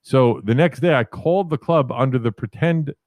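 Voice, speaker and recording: A man in his fifties from New York City with a deep voice, telling rambling, self-correcting stories about his career as a podcast guest. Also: normal speed, darkish tone, no room sound. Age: 50s. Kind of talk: casual storytelling interview.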